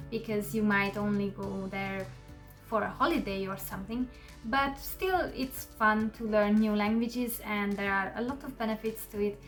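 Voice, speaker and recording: A woman whose first language is Hungarian talks casually to camera in English as a channel host, relaxed and chatty with soft fillers. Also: mid-range voice.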